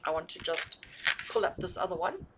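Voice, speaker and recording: A woman's voice: on the low side, sing-song, normal speed, noisy background. A woman giving a conversational tarot reading to viewers, emphatically repeating and rephrasing her points and checking in with questions. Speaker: tarot reader hosting a reading.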